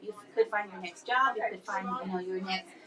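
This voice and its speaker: A woman's voice: mid-range voice, fairly even intonation, fairly quick, a bit bright. A woman co-hosting a podcast who affirms her guests in a supportive manner. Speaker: podcast co-host. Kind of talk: casual chat.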